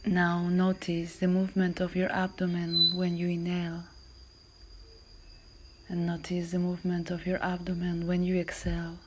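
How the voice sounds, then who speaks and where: A woman yoga instructor guiding a class in a calm, measured, soothing voice that is soft and at times breathy. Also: fairly low pitch, a little hiss or hum. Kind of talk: guided yoga and relaxation instruction.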